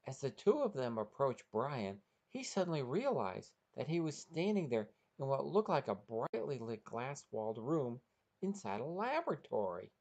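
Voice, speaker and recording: A man's voice: mid-range voice, very animated, moderate pace, darkish tone. A man hosting a podcast and narrating a short story from a script.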